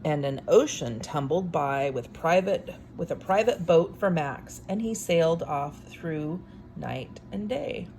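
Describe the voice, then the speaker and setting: Woman storyteller, low register with creaky edges, expressive picture-book reading, offhand wry commentary. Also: slow pace, a little hiss or hum. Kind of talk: read-aloud storytime with casual asides.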